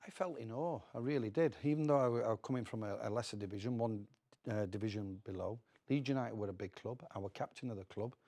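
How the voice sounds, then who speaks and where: A man's voice: lowish voice, fast, dark tone. A middle-aged man with a Northern English accent, a former footballer reminiscing casually as a guest and often repeating words as he goes.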